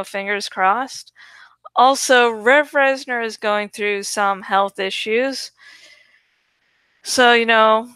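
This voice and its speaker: Woman, bright forceful voice, unhurried pace, casual chatty host, informal and sweary. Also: a little high, smooth, no room sound. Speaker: host of a book-reading channel. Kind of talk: casual chatty video outro.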